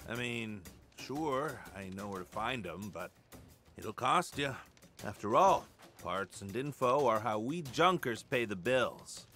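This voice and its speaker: A flirtatious junker informant from a video game. He drawls unhurriedly in a bright, forceful voice that leaps about in pitch. He is slangy and hesitant, sly one moment and flustered the next. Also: lively intonation, slow pace, faint music behind. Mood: awkward, businesslike. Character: excitable flirtatious male junker info dealer in gas mask. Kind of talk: video game cutscene acting.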